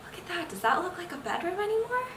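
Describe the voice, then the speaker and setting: A young adult woman reading a picture book aloud to children, expressive and full of engaging questions. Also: very high voice, fast. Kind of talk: children's read-aloud storytelling. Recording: roomy echo, distant mic, faint noise.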